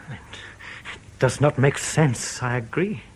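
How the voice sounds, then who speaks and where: An actor playing a guarded foreign defector under interrogation, protesting in careful, formal speech in a radio drama. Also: male voice, medium pitch, slow, a little hiss or hum, close to an everyday voice, poor audio. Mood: puzzled. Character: guarded, indignant male East Bloc defector under interrogation.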